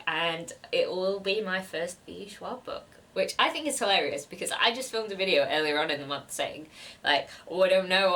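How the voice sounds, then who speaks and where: Girl with a stuffy cold voice, kinda low, rambly book vlog chat, a bit far from the mic. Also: bright, forceful tone. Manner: rambling, self-deprecating, many fillers. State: nasal from a cold. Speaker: book vlogger. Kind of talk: chatty haul vlog.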